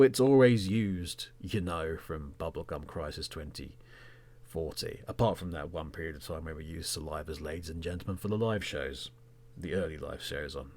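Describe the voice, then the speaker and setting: A man hosting a podcast, talking reflectively in a measured, conversational way, in short phrases. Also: low voice, darkish tone, a little hiss or hum. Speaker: podcast host and presenter.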